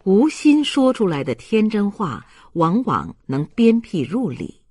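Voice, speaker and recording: Narrator for literary fiction: unhurried, husky, expressive storytelling in a dry recording. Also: medium pitch, no room sound. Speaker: audiobook narrator.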